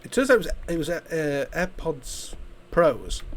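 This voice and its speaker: Hoarse British man rambling over gameplay. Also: a little high, big pitch swings, measured pace, slight background noise. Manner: rambling, swears often, frequent restarts. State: hoarse from a chest infection. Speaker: gaming YouTuber. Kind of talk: casual commentary while playing a game.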